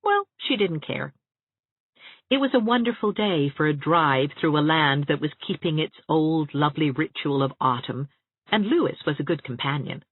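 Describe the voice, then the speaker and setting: Woman reading a novel out loud, husky, very expressive, dry close sound. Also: sits low, no echo, narrow, tinny audio. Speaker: audiobook narrator. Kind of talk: expressive scripted literary narration.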